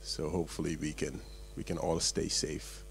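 A man hosting a small workshop, opening it with halting remarks full of fillers, in a meeting room. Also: rumbling low, level tone, creaky, a little hiss or hum. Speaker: event host.

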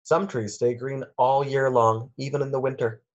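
A man's voice: medium pitch, steady tone, normal speed, muffled recording. A man reading a children's picture book aloud in simple phrases, with lilting questions for young listeners.

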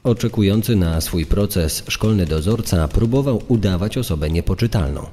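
A man's voice: low voice, expressive, normal speed, dark tone. A man reading scripted true-crime narration as a narrator. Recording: long reverb.

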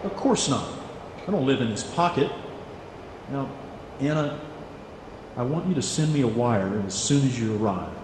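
An actor playing an earnest, stubborn writer in a radio drama, plain-spoken and terse. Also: male voice, medium pitch, lively intonation, moderate pace, long pauses, background noise, natural voice. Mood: evasive, brisk. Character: earnest, stubborn American writer, narrating his own story. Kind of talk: radio drama acting and first-person narration.

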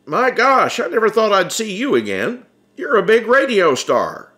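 A man's voice: high voice, very animated, measured pace, sharp tone, pitched above the performer's own voice, exaggerated voice. A man voicing a hearty, friendly working-class man who talks in a folksy, eager way in an audiobook. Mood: excited. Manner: folksy, eager, plain-spoken. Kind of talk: audiobook character dialogue.

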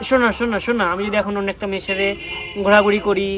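Very fast-talking young man, teasing. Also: high-pitched, flat delivery. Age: young adult. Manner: teasing, insistent, repeats questions. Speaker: prank video creator. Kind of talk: casual teasing phone chat.

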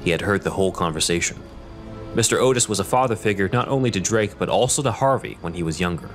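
A man narrating an audio drama in a measured, scripted storytelling manner. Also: sits low, lively intonation, faint music behind.

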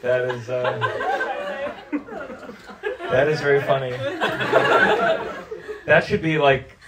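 A man in his thirties, a stand-up comedian doing crowd work on a club stage with a handheld mic, talking in an animated, incredulous way. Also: mid-range voice, takes it slowly, recorded from a distance, heavy background noise. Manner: animated, incredulous, laughing often. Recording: roomy club sound with crowd laughter.

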